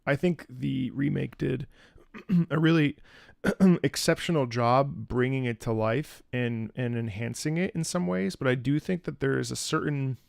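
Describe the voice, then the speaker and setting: A man's voice: mid-range voice, unhurried, creaky, no echo, clean studio sound. A man giving casual, playful live stream commentary on game cutscenes, swearing freely.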